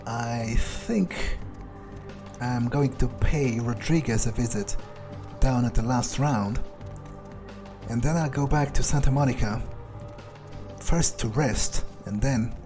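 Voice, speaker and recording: Game roleplay: cocky, ruthless vampire, played by a male gamer, mid-pitched, casual halting musing, faint music behind. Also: lightly acted. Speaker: gamer roleplaying his character. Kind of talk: in-character video game playthrough.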